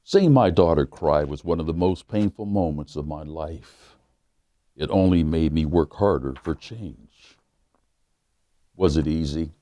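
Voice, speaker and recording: A man, a pastor, reading a children's book aloud in a measured storytelling manner that slows down on key lines. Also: extremely low pitch, warm tone, no echo.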